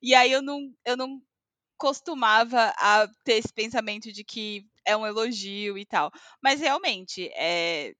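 A Brazilian woman with a Brazilian Portuguese accent, chatting casually with hesitant pauses and fillers. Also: on the high side, animated, a bit bright, no echo. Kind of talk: casual chat. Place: living in Scotland.

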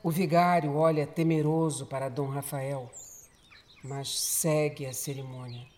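A narrator delivering scripted dramatic narration for a horror radio drama in a measured, deliberate storytelling manner. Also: medium pitch, steady tone, a little mellow.

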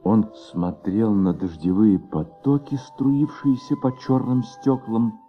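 A man narrating a radio play as a sombre, measured literary storyteller. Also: on the low side, steady tone. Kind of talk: scripted radio drama narration. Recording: muffled old radio recording. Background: music.

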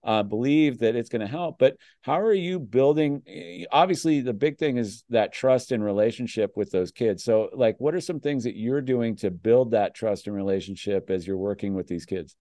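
A man's voice: mid-range voice, normal speed, few pauses, mellow, no room sound, clean studio sound. A man hosting a coaching podcast in a conversational way, with frequent fillers. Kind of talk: casual podcast interview.

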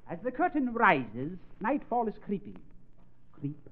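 A high-pitched, excitable absurd film producer. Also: male voice, unhurried, exaggerated voice. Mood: grandiose. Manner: theatrical shushing, grand announcing, sudden barking orders. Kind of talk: 1930s radio comedy acting. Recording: dull noisy old radio recording before audience.

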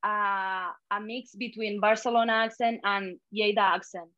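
A woman's voice: medium pitch, steady tone, unhurried, sharp tone, dry recording. A woman in her twenties speaks with a Spanish accent. She is chatting casually about everyday things. The sound is very dry and slightly dull, as if lo-fi. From Spain (Catalonia and Andalusia). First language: Spanish and Catalan. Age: 20s. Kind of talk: casual conversation.

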